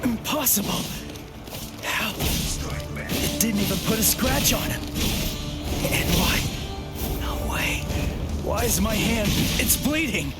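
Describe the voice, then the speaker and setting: High-strung, hot-headed young swordsman. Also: lively intonation, takes it slowly, pauses often. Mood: shocked. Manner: intense, blunt, self-talking. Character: hot-headed young male sword fighter, anxious yet stubborn. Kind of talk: dramatic anime game voice acting. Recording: loud background music.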